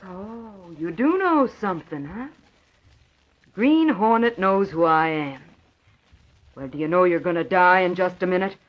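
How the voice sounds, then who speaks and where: A woman's voice: fairly low pitch, animated, unhurried, pauses often. A woman acting a ruthless, scheming gangster boss in 1940s radio drama, hard-boiled, boastful and taunting. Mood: menacing. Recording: muffled old 1940s radio recording.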